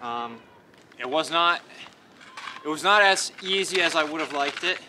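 A man's voice: a little high, lively intonation, a little slow. A young man chatting casually to camera as a vlogger, in an offhand, hesitant way. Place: outdoors in snow by a roadside. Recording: very noisy outdoor camera audio.

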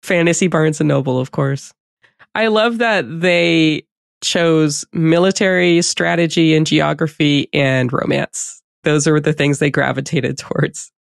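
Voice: medium pitch, steady tone, measured pace, vocal fry, no room sound, clean studio sound. A podcast host asking questions in a casual, conversational interview style.

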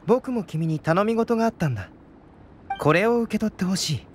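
Composed, high-voiced young alchemist prodigy. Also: male voice, lively intonation, slight background noise. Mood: composed, intrigued. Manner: composed, measured, quietly curious. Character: young male genius alchemist, calm, polite, detached. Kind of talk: Japanese anime game voice acting. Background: game music.

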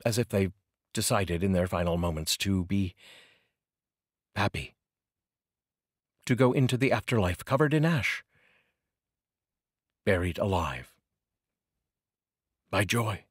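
A middle-aged man reading poetry expressively, with dramatic, deliberate phrasing and a husky voice, close on a studio microphone. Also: deep voice, lively intonation, no room sound. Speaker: poetry reader, channel host. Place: small curtained home studio, indoors. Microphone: close studio microphone on boom arm with pop filter.

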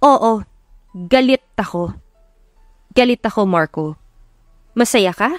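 A woman's voice: fairly high pitch, animated, slow, dry recording, clean studio sound, soft background music. Woman acting out an angry, wounded young woman. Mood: angry, hurt. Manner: emphatic, accusing. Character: angry, wounded, defiant young woman confronting cheating boyfriend. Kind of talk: audiobook romance drama acting.

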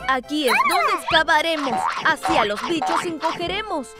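A very high-pitched, wildly swooping little lion cub, excitable and bold. The lines come in playful sing-song cartoon rhymes, with loud children's music behind. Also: normal speed. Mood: excited. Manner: exclamatory, sing-song rhyming delivery.

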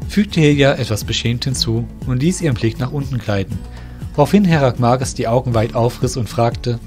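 A man reading the narration of an amateur radio play, in a steady, measured way. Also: mid-range voice, faint music behind. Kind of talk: scripted storytelling narration.

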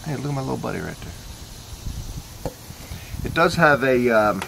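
A man's voice: mid-range voice, animated, normal speed, vocal fry, a bit bright, slight background noise, rough recording. A man casually reviewing coffee in his backyard, talking in an easygoing, rambling way as he thinks aloud. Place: backyard outdoors.

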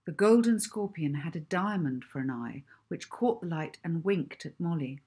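A woman's voice: deep voice, lively intonation, moderate pace, dark tone, husky. A woman, narrating a children's novel expressively. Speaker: author reading own children's novel.

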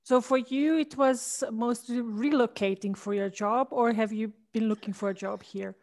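A woman's voice: fairly high pitch, fairly even intonation, normal speed, a little mellow. Woman hosting an interview on a headset. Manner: friendly, asks questions with short backchannels. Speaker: host. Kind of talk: interview host. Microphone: headset on a video call.

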